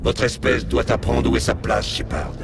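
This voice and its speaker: An actor playing an arrogant, contemptuous alien agent in a French video game, with a gravelly, haughty, theatrical delivery. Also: male voice, on the low side, animated, moderate pace. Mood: scornful. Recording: loud music underneath.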